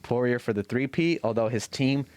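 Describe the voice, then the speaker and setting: A man's voice: mid-range voice, moderate pace, darkish tone, no echo. A man in casual sports chat on a podcast, slangy and profane, retelling exchanges in reenacted quotes. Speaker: podcast host.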